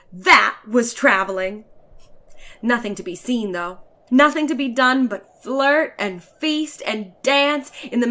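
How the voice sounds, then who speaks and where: Woman reading as a snooty chatty lady traveler from a storybook, high voice, very theatrical and wordy. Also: sharp tone. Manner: theatrical, emphatic, ornate and declamatory. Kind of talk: dramatic reading of a short story.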